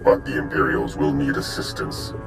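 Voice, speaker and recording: A man's voice: rumbling low, fairly even intonation, moderate pace, gravelly, low-quality recording. A man playing a commanding villain overlord in a video game, giving formal orders with authority. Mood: authoritative. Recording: loud game music behind voice.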